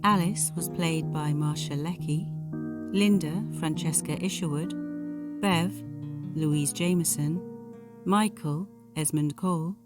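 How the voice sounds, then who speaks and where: Low, slow woman announcer over loud guitar. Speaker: announcer reading end credits. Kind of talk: scripted credits narration. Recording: loud guitar music under voice. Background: plucked guitar music.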